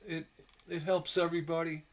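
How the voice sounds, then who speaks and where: A man teaching stained glass on a casual live stream, slightly hoarse from a sore throat, relaxed and rambling with many fillers. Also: fairly high pitch, brisk. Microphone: computer mic on a live stream.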